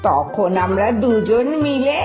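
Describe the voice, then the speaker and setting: A woman's voice: medium pitch, big pitch swings, slow, music in the background. A woman playing a stubborn, ageing mother-in-law in a cartoon, with a coaxing, exaggerated delivery. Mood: pleased, chatty. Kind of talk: scripted cartoon dialogue.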